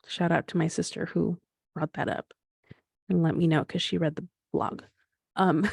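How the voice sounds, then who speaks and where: A woman's voice: fairly low pitch, gravelly, darkish tone, no room sound, clean studio sound. A woman in her thirties hosting a solo podcast, talking things through in a rambling, reflective way with frequent restarts and fillers. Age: 30s. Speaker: podcast host.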